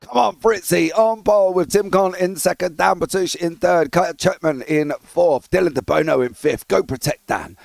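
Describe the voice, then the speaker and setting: A hoarse English man calls an online race with a gravelly, raspy edge to his voice. He sits a bit above the usual pitch for a man and gets loud and excitable when the lights go out. The sound is dry and close. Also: normal speed, hardly any pauses, no echo. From England. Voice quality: raspy, husky voice. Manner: excitable, rising to shouts at race start. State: hoarse from broadcasting. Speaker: race commentator. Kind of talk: sim racing commentary.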